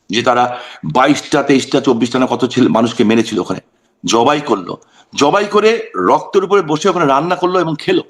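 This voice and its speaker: A Bangladeshi man giving impassioned political talk in an interview, emphatic, asking rhetorical questions, repeating phrases and mixing in English words. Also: on the high side. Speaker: political guest on a talk show.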